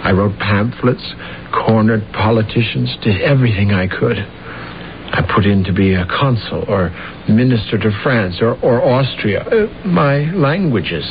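A man's voice: on the low side, expressive, unhurried, slight background noise. Deluded assassin, male, bitter, insistent, self-important, radio play, muffled old recording. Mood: grandiose, insistent. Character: aggrieved, grandiose, self-deluded man, assassin in jail. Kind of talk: old-time radio drama acting.

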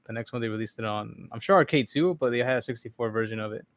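A man co-hosting a casual podcast, easygoing and quick to laugh. He asks curious follow-up questions in a relaxed, chatty way. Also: mid-range voice, dry recording. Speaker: podcast co-host. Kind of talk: casual chat.

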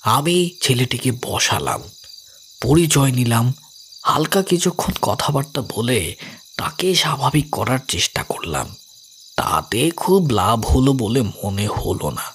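An actor playing a calm, rational older investigator, narrating an audio story in a measured, explanatory way. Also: male voice, mid-range voice, slight background noise. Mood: composed narrating. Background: music.

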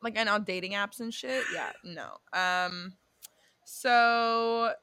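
A woman's voice: mid-range voice, takes it slowly, bright, forceful tone. A young woman co-hosting a podcast, chatting casually and swearing freely, often drifting off and correcting herself. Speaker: podcast co-host. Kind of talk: casual chat about a reality show and astrology.

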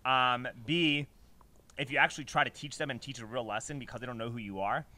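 A man's voice: mid-range voice, fairly even intonation, fairly quick, pushed and bright. A man hosting a podcast, chatting casually in a rambling, emphatic, slangy way. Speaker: podcast host. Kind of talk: casual chat, conversational storytelling.

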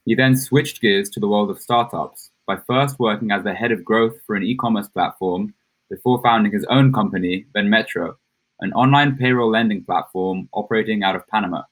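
A man's voice: on the low side, brisk, low-quality recording. A male podcast host giving polished, formal introductions and asking questions.

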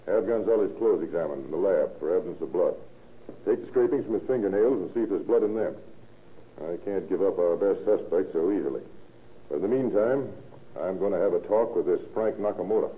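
An actor playing a brisk, authoritative, skeptical American police detective in an old-time radio drama, with a dry manner. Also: male voice, sits low, slight background noise, close to an everyday voice. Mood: businesslike. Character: hard-boiled, skeptical male police detective in charge. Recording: tinny old radio recording.

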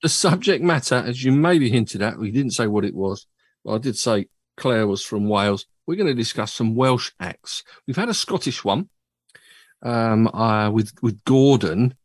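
A man hosting a relaxed chat show, his husky voice bouncing up and down in lively swings. He rambles and pokes fun at himself. The sound is close and dry. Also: mid-range voice, moderate pace, dry recording. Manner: chatty, rambling, self-mocking. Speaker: podcast host. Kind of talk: casual chat. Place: home studio.

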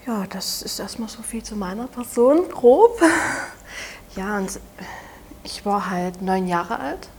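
A woman in her twenties from Saxony with a Saxon German accent, speaking haltingly and softly as an interview guest, often restarting her sentences. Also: mid-range voice, expressive, slightly rough. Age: 20s. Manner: halting, soft-spoken, with frequent restarts. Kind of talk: personal testimony in an interview.

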